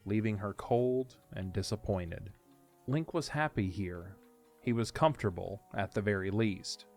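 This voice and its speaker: Low-pitched male story narrator. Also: darkish tone.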